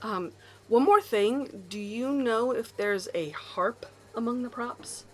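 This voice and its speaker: A woman playing a bold, sharp-tongued mystery woman in an audio drama, blunt and self-possessed. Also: fairly high pitch, takes it slowly. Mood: polite.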